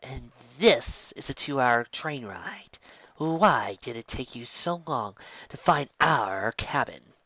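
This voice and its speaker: Male reader putting on a high, sharp-voiced braggart mare for a storybook; cocky, giggly, dull lo-fi sound. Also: animated, takes it slowly, slightly rough, dry recording, full character voice. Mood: puzzled. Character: brash boastful young mare unicorn showoff. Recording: dull, lo-fi home recording.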